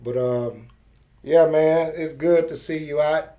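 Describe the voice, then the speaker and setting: A man's voice: a little high, takes it slowly, warm tone. A middle-aged man, interviewing in a casual, slangy and profane street-talk style. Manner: informal, slang-heavy, profane.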